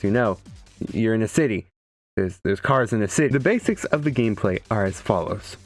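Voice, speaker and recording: A man doing casual, comedic video game commentary with a sarcastic, profane edge. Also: mid-range voice. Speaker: gaming video creator. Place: indoors, streaming setup.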